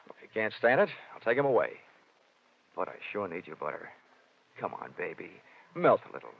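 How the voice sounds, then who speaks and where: An American actor playing a wisecracking hard-boiled insurance investigator in 1940s radio detective style, with wry, clipped delivery. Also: male voice, on the low side, very animated, fairly quick, gravelly, husky, full character voice. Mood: flirtatious. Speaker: lead actor. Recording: tinny, noisy vintage radio recording.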